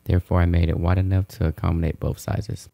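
A man's voice: sits low, little pitch movement, fast talker, creaky, mellow, no room sound. A man in his thirties hosting a DIY video, narrating a how-to in a casual, informal, explanatory way.

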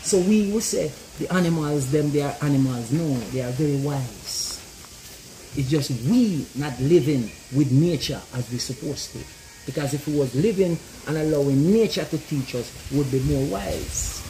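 A middle-aged Jamaican man, a guest in an animated outdoor conversation, who talks emphatically and keeps asking "you see it?". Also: fairly high pitch, normal speed, a little hiss or hum, low-quality recording. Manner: emphatic, repeats "you see it?", gestures while talking. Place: open-air pavilion in a garden.

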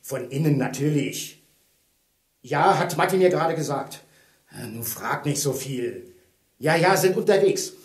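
An actor playing a brisk, commanding detective in a children's audio drama. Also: male voice, a little high, expressive. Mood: urgent. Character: brisk, commanding detective giving urgent warnings. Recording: echoey room.

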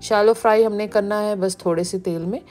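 A woman, narrating a cooking video as the channel's host, explaining each step in a relaxed way. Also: medium pitch, brisk. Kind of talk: recipe voice-over narration. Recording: background music.

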